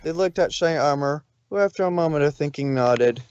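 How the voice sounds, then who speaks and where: A man's voice: a little high, fairly quick, darkish tone, clear, resonant tone, muffled recording. A man reading a story aloud as amateur narrator, sometimes stumbling over the words.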